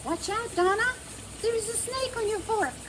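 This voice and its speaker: Woman as an excitable high-pitched storybook child. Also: moderate pace. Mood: excited, alarmed. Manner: exclaiming, eager. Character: excitable, eager young child on a farm adventure. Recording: clear background noise.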